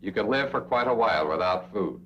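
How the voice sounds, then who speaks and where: A muffled, humming old film soundtrack carries a low-pitched American man. He narrates in 1960s instructional style, addressing the listener directly and emphatically, with faint noise behind him. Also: expressive, fairly quick, a bit bright. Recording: dull, noisy old film soundtrack with hum.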